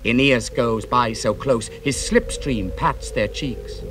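A man giving a dramatic audiobook reading of epic poetry, theatrical, moving between hushed lines and ringing ones. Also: mid-range voice, slow, noisy background. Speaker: audiobook reader.

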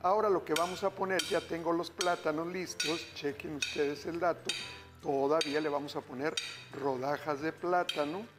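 A man's voice: a little high, steady tone, moderate pace, music in the background. A middle-aged man hosting a TV cooking show, chatting with viewers as he cooks in a studio kitchen. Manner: upbeat, chatty, keeps calling viewers "familia". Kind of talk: cooking demonstration, addressing viewers.

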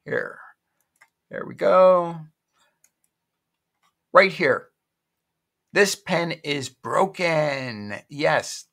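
A man's voice: medium pitch, creaky, fairly bright tone. A middle-aged man casually walking viewers through an online auction site at his home desk, rambling and correcting himself as he goes. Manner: rambling, self-correcting, chatty. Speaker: online shopping vlogger. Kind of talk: casual screen-share walkthrough.

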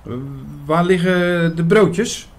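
A man's voice: sits high, steady tone, slow. Earnest young male priest, played by an actor. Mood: light, puzzled. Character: earnest young priest Hugo, gentle, hesitant, narrating his story. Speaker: narrator and lead actor. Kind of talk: Dutch audio drama narration and dialogue.

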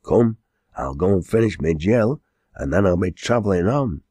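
A man's voice: sits low, expressive, normal speed, mellow, slightly rough, no echo, pitched above the performer's own voice. A man voicing a blunt, hearty rural quarryman in a storybook, with emphatic Lancashire dialect. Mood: impressed, hearty.

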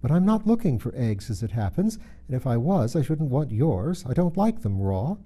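A man's voice: medium pitch, big pitch swings, moderate pace, warm tone. A man voicing a puzzled, polite little girl who speaks earnestly in a storybook reading. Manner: earnest, hesitant, protesting.

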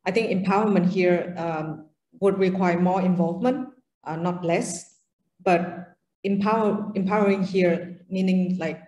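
A woman in her thirties takes part in an online panel over her webcam mic. Her voice is slightly low and the room around her rings noticeably. She talks steadily with frequent soft fillers. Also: fairly even intonation, a little slow, roomy sound, low-quality recording. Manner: fluent with many soft ums and uhs. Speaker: panelist, venture associate. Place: room on video call.

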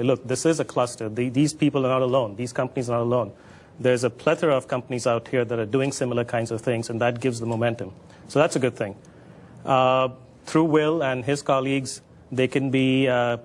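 A man in his forties speaking as an academic guest on a studio panel, explaining in a measured way and repeating points for emphasis. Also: mid-range voice. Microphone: lapel. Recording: clear background noise.

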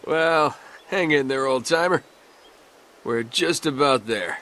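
A dry, wry young adventurer. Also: male voice, fairly high pitch, slow, bright, forceful tone. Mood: teasing. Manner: dry, teasing. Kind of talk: video game cutscene dialogue.

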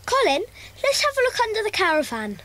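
A woman's voice: very high-pitched, wildly swooping pitch, brisk, pushed and bright, slight background noise, heavily acted. A woman playing a keen, easily indignant child in a children's audio drama.

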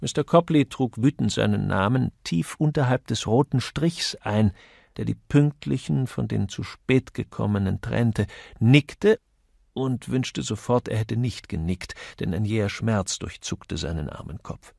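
Gravelly male audiobook narrator. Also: on the low side, measured pace, a little mellow, no room sound, clean studio sound. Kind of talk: scripted literary narration.

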